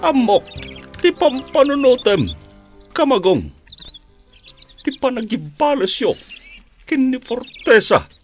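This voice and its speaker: A woman's voice: high voice, sing-song, darkish tone, soft background music, exaggerated voice. A woman playing a stern, defiant fighter in a radio drama, speaking emphatically. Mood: cautioning. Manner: slow, emphatic. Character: defiant, stern fighter urging battle and patience.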